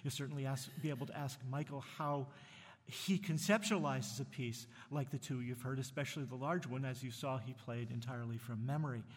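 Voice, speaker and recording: A man from New Jersey introducing pieces at a concert, talking haltingly with many fillers and restarts, and enthusiastic about the music. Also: medium pitch. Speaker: concert host. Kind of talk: casual spoken introduction between concert pieces. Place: concert hall with audience. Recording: long hall reverb.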